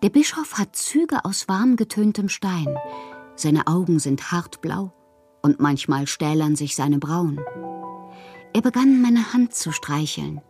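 Radio play casting: a moody, fanciful woman poet with a lowish voice, theatrical and expressive, reading intimate letters. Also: slow, soft background music, full character voice. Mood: dreamy, intimate. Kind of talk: literary radio drama, dramatic letter reading.

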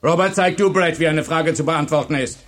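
An actor playing a strict, commanding history teacher in a radio drama, drilling the class in clipped orders. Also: male voice, a little high, brisk, fairly bright tone, close to an everyday voice. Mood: strict, demanding. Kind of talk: German radio drama acting.

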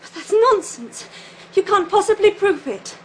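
High-voiced, brazen young British lady. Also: expressive, normal speed, a little hiss or hum. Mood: indignant, defiant. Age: young adult. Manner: defiant, dramatic, sardonic. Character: brazen, haughty young lady unmasked as murderess. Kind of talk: radio drama acting.

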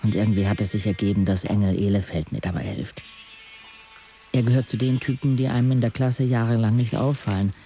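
An actor playing a frank, brooding schoolboy who narrates a radio drama in a dry, confiding way. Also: male voice, fairly low pitch, fairly even intonation, brisk, dark tone, natural voice. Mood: frank, embarrassed. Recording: studio voice with music behind.

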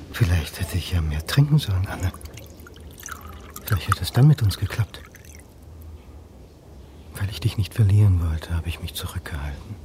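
Man acting a self-doubting lecturer in radio play, lowish voice, dry and muttery, background noise. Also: natural voice. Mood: bitter, despairing. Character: self-doubting, brooding, dry-witted male history lecturer. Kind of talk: naturalistic radio drama acting.

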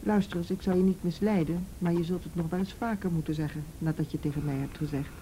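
A woman playing a calm, middle-aged counsellor in a radio drama, patient, direct and matter-of-fact. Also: on the low side, quick pace, a little hiss or hum, close to an everyday voice, poor audio. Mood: firm, insistent. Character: calm, firm female counsellor questioning a girl. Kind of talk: naturalistic radio drama acting.

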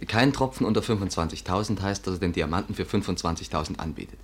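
An actor playing an impatient, condescending and persuasive male companion in a 1950s radio drama, speaking briskly. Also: deep voice, husky. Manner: brisk, patronising.

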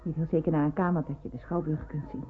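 An actress playing a sober, compassionate nursery worker in a radio drama, measured and guarded in her delivery. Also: low-pitched, steady tone, lightly acted. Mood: cautioning, kind. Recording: thin phone-like band, noisy old radio play.

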